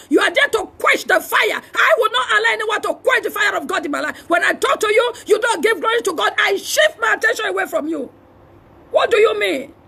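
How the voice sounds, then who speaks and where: Shrill, shouting Nigerian woman preacher. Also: creaky. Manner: forceful exhorting with emphatic repeated phrases; shouting delivery. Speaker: preacher and prophet. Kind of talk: fiery charismatic sermon.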